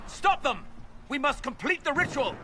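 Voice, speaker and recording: A man's voice: very high-pitched, very animated, normal speed, slight background noise, full character voice. An actor playing a scheming, slippery male mage villain in a fantasy game, persuasive and theatrical. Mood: alarmed, commanding. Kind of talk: dramatic fantasy game acting. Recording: loud dramatic music underneath.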